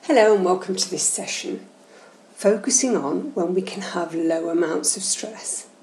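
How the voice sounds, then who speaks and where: Mellow, creaky-voiced woman guiding a meditation. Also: lowish voice. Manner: gentle, measured, instructional. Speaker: guided meditation host. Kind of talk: slow, soothing guided meditation narration. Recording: roomy echo, faint background noise.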